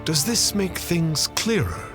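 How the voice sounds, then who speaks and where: A man's voice: on the high side, very animated, slow pace, over music. A man playing a pompous self-styled mystic sage in a video game, speaking in lofty, archaic, portentous phrases.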